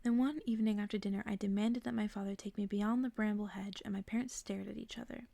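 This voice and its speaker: A woman reading a bedtime story as ASMR in a soft, breathy whisper, hushed and gentle. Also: mid-range voice, fairly even intonation, fast, dry recording. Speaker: ASMR creator reading stories aloud. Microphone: close-up microphone.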